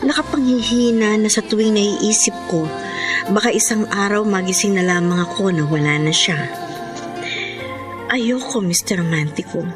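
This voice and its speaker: A woman's voice: mid-range voice, normal speed, close to an everyday voice. A woman with a Filipino accent playing a devoted, lovelorn young woman, tender and earnest, in a radio drama. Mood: anguished longing. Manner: earnest, emotive, consoling. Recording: music bed under the voice. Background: background music.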